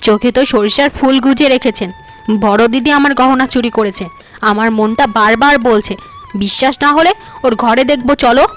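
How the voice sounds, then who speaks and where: A scheming young wife in a cartoon, jealous and sharp-tongued, voiced by a woman. She speaks high and cutting, with a bed of soft music behind. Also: fairly quick, lightly acted. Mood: accusing. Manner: sharp, accusing.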